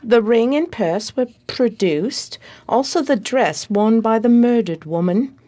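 Actress as long-suffering female assistant, radio play narrator, high voice, animated intonation, prim. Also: measured pace. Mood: expressive, prim.

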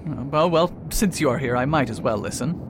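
A man's voice: a little fast, lightly acted. A man playing a proud, aloof old retired detective in a radio play, pompous and hesitant in manner. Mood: reluctant. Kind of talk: amateur radio play acting. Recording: faint rumbling background noise.

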